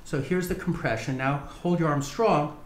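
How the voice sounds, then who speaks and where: A man's voice: a little high, moderate pace. A middle-aged man working as a chiropractor, talking a patient through each hands-on step and checking for consent. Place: small indoor treatment room. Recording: roomy echo with faint background noise.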